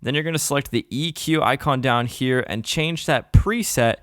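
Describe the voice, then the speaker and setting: Dry, clear-voiced man giving a tutorial. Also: medium pitch, normal speed, fairly bright tone, no room sound. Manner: casual, plain-spoken step-by-step explaining. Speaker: tutorial host. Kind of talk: instructional software tutorial narration. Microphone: close voice-over microphone.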